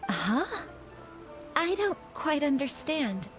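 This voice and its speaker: An actress playing a kindly, apologetic female clerk in a video game, with a polite, gentle manner. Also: high voice, sing-song, takes it slowly, over music, exaggerated voice. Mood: friendly. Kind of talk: English video game voice acting.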